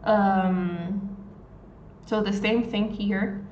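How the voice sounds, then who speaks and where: Slow-talking Argentine woman tutor. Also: medium pitch, fairly even intonation. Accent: Argentine Spanish. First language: Spanish. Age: 20s. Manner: unhurried, searching for words with many ums and restarts. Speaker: Spanish tutor. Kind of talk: live-stream lesson answering a question. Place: small room indoors. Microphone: phone at arm's length. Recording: echoey, dull phone audio with faint noise.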